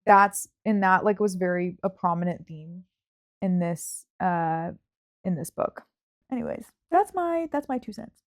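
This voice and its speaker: A woman's voice: fairly low pitch, lively intonation, a little slow. Casual, hedging woman chatting about books. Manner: informal, hedging, frequent "like". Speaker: book podcast host. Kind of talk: casual book chat.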